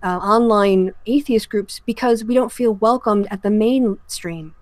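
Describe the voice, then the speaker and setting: A woman's voice: medium pitch, a little slow, no echo. Woman host in a close, dry recording. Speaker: podcast host. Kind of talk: panel discussion on a remote call.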